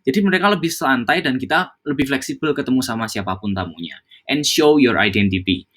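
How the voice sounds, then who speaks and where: A man's voice: mid-range voice, lively intonation, a little fast, fairly bright tone. Man coaching colleagues, code-switching casually. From Java, Indonesia. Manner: mixes English phrases into casual speech, many fillers and restarts. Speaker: hospitality staff trainer. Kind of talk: internal training talk.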